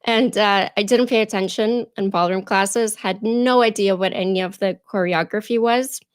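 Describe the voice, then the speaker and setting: Interview guest, a woman from Ukraine, native Russian speaker, mid-pitched voice, reflective. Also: fairly even intonation, moderate pace, smooth, no echo, studio quality. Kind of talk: casual interview storytelling.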